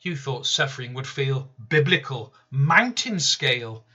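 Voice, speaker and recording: Slow, measured man reading poetry. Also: mid-range voice, lively intonation, sharp tone, slightly rough. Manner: slow, deliberate, measured delivery. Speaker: poet reading his own poem. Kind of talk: spoken-word poetry reading.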